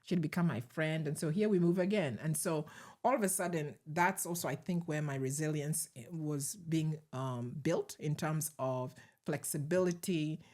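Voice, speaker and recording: A middle-aged woman with a Ugandan accent speaks in a low register, telling her story in a measured, reflective way. She lets soft laughs slip in as she talks, answering questions as an interview guest.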